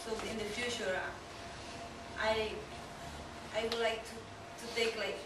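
A woman's voice: fairly high pitch, level tone. Young woman, Peruvian Spanish accent, informal talk in hesitant English, picked up from afar in a noisy small meeting room. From Peru. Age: young adult. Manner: halting, searching for words in non-native English. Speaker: exchange trainee giving a talk. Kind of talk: informal presentation to colleagues. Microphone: far camera microphone. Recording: distant, very echoey room, clear background noise.

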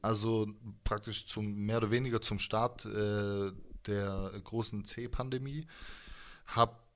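A man's voice: on the low side, steady tone, slow. A man, around his thirties, chatting casually as an interviewed musician, rambling and jokey in slangy speech into a handheld microphone. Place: living room music space, indoors.

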